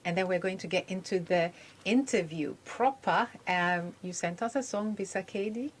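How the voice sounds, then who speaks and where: A woman's voice: on the low side, moderate pace. A woman hosting an interview show, encouraging and affirming her guest with frequent murmurs. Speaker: radio show host.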